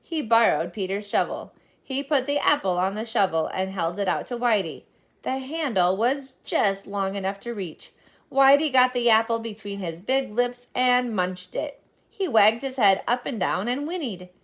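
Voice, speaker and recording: A woman reading a children's storybook aloud as scripted, expressive narration. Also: fairly high pitch, moderate pace. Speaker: storybook reader.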